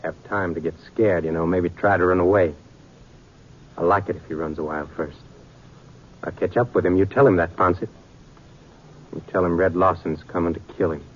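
A man's voice: low-pitched, moderate pace, pauses often. An actor playing a vengeful western gunman with a rustic, taunting drawl, in 1950s radio drama style. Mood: coolly threatening. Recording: muffled old radio recording.

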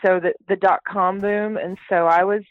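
A woman raised in Texas, born in Canada, chatting as an interview guest, talking in quick restarts and fillers. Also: mid-range voice, steady tone, dry recording. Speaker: guest, startup CEO. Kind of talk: casual interview talk. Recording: tinny phone-like line.

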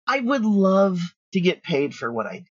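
A woman's voice: medium pitch, very animated, normal speed, husky. A woman speaking casually as an interview guest, frank, practical and emphatic. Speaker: guest, audio drama creator. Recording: roomy, dull, lo-fi audio.

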